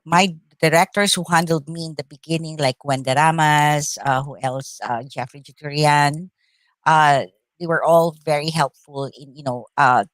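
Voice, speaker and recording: A middle-aged woman with a Filipino accent, whose first language is Tagalog, answering interview questions thoughtfully with many pauses and uhs. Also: low-pitched, no room sound. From Philippines. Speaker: actress, interview guest.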